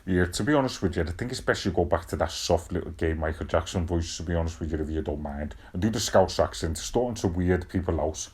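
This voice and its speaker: A man's voice: low voice, fast talker, hardly any pauses, a little mellow, lightly acted. A man playing a brash, sweary hard-man podcast host, blunt and peppering his talk with "yeah, right". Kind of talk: comic casual chat.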